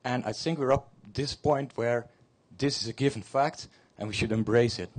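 Casting: man, conference presenter, relaxed delivery with joking asides. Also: medium pitch, moderate pace. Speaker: conference speaker. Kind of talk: short slide talk. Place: conference hall.

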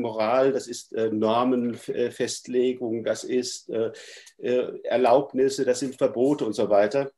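A man's voice: medium pitch, mellow. Man with a cold, lecturing on a video call. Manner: thoughtful, many hesitations. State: stuffy with a cold. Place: home room, indoors. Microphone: webcam on a video call.

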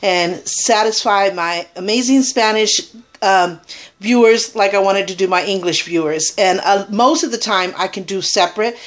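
Puerto rican woman in her 30s, rambly makeup tutorial, lots of ums, webcam in a small room. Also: mid-range voice. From Puerto Rico. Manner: chatty, rambling, filler-heavy. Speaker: beauty vlogger. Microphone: webcam at arm's length.

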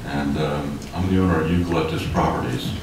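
Low-voiced older man at a hall podium. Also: fairly even intonation, a little fast, gravelly, a little mellow, slight background noise. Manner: emphatic, gesturing, rising heat. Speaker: public commenter, property developer. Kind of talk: public comment at a city hearing. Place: city commission chamber, indoors. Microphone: podium microphone. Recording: echoey hall, distant mic.

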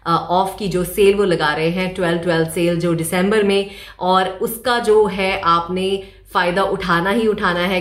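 A woman in her thirties talks chattily in a lowish voice, recorded on a camera a little way off in her bedroom. Her throat is slightly sore, and she slips English phrases into her talk. The room gives her voice a noticeable echo. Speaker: fashion vlogger. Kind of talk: casual sponsored try-on haul chat. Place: bedroom at home, indoors. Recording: echoey room.

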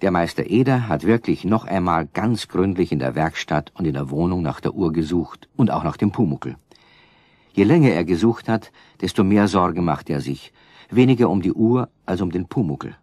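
A man narrating a children's radio play as a calm storyteller, addressing the listeners directly. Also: low-pitched, moderate pace, no echo, clean studio sound. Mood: calm, explanatory.

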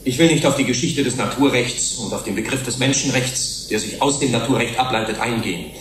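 Actor as earnest human rights advocate, roomy echo. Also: male voice, mid-range voice, lightly acted. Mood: earnest, measured. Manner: deliberate, rhetorical, measured. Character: earnest human rights commissioner pleading at a tribunal. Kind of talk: formal courtroom speech in German radio drama. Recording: roomy hall echo, faint hiss.

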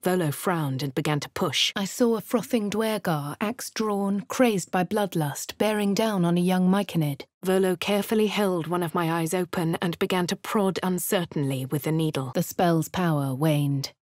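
A composed young adventurer with an upper-class English accent, voiced by an actress. She narrates her own deeds in a measured, clipped manner, slightly low in pitch. The studio sound is dry and close. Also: a little mellow, no room sound, natural voice. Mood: calm narration. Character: posh young adult woman adventurer, composed. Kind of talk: game character narrating her actions.

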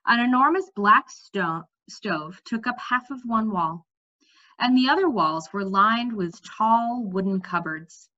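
Young woman reading aloud, lively pitch swings. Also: slow pace. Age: young adult. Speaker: reader. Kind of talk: storybook read-aloud narration with lively dialogue. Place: bright room at home. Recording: slightly dull webcam audio.

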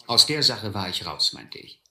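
A reflective radio play narrator looking back on boyhood adventure. His voice is fairly low and very forceful, with roomy echo. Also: big pitch swings, normal speed, slight background noise, full character voice. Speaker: first-person narrator.

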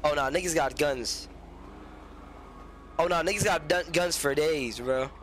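A man's voice: fairly high pitch, unhurried, fairly bright tone, soft background music. An American man streaming a game with casual, profane, slangy chat, often repeating his phrases. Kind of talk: casual gameplay chat and roleplay.

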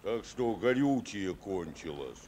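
An actor playing a hapless, dutiful and muddled sailor in a Soviet comic radio play, deferential and hesitant. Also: male voice, deep voice, sing-song, takes it slowly, darkish tone, slight background noise, heavily acted.

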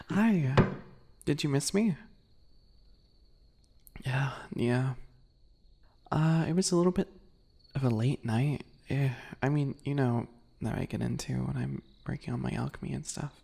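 A man voicing a sweet-spoken, obsessive male bunny predator in an ASMR roleplay, soft, breathy and teasing, often whispering. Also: medium pitch. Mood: shy, sweet. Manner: slow, teasing, giggly, menacing.

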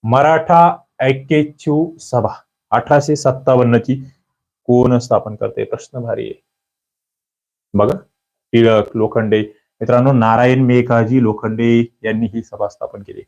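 A man's voice: mid-range voice, fairly even intonation, measured pace, darkish tone, dull audio. A man with a Marathi accent giving an online exam-prep quiz lecture, emphatic and repetitive, often putting questions to his listeners.

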